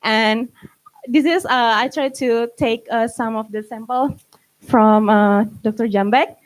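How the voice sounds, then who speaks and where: Halting young Indonesian woman, higher-pitched voice. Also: steady tone. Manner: halting, frequent self-corrections. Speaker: guest speaker. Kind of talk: informal slide presentation. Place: live summit event.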